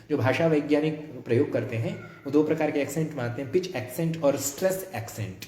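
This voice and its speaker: A man lecturing on music theory into a phone held close, his throat worn from a day of classes. His voice sits a bit high and moves a lot in pitch as he explains. The room adds a noticeable echo. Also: a little mellow. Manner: explains slowly, sings scale notes to demonstrate pitch. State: tired throat. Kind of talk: academic lecture with sung examples. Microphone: phone about a foot away.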